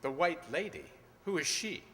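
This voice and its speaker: An actor playing a shrewd, dryly superior gentleman detective in a radio play. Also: a little high, very animated, moderate pace. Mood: probing. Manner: crisp, probing, wry. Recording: noisy, echoey hall recording.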